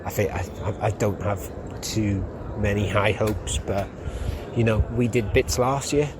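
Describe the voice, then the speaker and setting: A man's voice: lowish voice, fairly even intonation, measured pace. A young man, a podcast host, chatting casually in a rambling way with lots of ums while walking outdoors through a city. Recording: outdoor street noise. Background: street and traffic noise.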